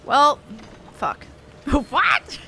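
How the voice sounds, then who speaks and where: A woman giving casual, expressive, sweary reactions as she plays through a game. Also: drawn-out words. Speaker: game streamer. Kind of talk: playthrough commentary, casual reactions. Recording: game music behind voice. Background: game music.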